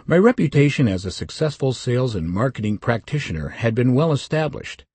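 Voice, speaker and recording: Older Swiss man, memoir reader, dry measured delivery, mellow tone, dry close sound. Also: mid-range voice, sing-song, husky, no echo. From Switzerland. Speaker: memoir narrator. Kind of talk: scripted first-person narration.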